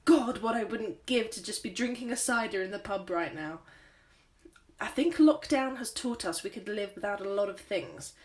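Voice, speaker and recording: Casting: woman playing pub lover, medium pitch, wistful dry humour, short-film diary. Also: animated, normal speed, lightly acted.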